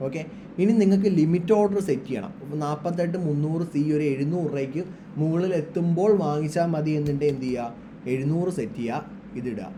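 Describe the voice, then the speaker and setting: A man's voice: fairly high pitch, background noise. A man giving an informal, instructional screen-share trading tutorial, mixing English terms into his speech. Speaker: trading tutorial presenter, trader.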